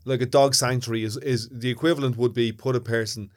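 A man's voice: medium pitch, fairly even intonation, quick pace. A man with a Dublin Irish accent, co-hosting a podcast in casual, blunt and earthy chat, often repeating words as he starts a thought. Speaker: podcast co-host.

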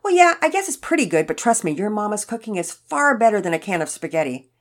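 Woman voicing a gentle, folksy elderly man, pitched up. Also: sing-song, quick pace, natural voice. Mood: grateful, folksy. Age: older. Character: sweet elderly widowed man, frail, grateful, folksy. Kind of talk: acted character dialogue in children's story narration.

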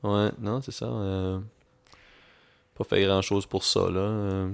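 Young male podcast co-host, Québécois accent, low voice, easygoing storyteller. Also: takes it slowly, gravelly, clear, resonant tone. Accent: Québécois French. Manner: relaxed, laid-back storytelling with English slang mixed in. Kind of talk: casual chat.

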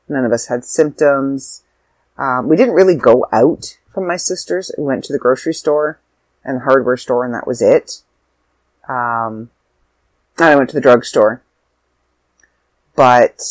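A young woman vlogging casually from home, rambling and sidetracking, a little stuffy and hoarse with a sore throat and tired. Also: very deep voice, no room sound, poor audio. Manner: rambling, self-interrupting. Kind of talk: casual chat to camera.

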